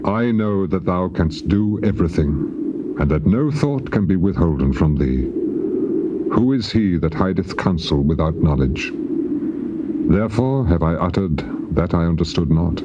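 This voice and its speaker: An actor playing a humbled, penitent man in a Bible drama, solemn and measured. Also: low voice. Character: Job, humbled, penitent man addressing God. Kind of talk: dramatized scripture reading. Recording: dull tape with loud background noise.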